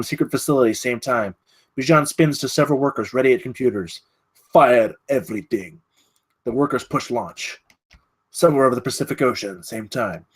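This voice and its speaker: A man's voice: medium pitch, a bit bright, slightly rough. A man reading stage directions at a screenplay table read, in a dramatic, clipped way.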